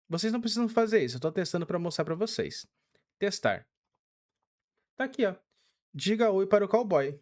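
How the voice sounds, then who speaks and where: A young man with a Brazilian Portuguese accent, casually walking through a game tutorial step by step with many fillers. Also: sits high. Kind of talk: casual screen-recorded tutorial.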